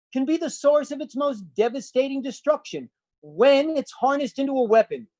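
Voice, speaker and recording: A woman's voice: a little high, darkish tone, no room sound. A woman acting a portentous old-time radio narrator, reading scripted dramatic narration.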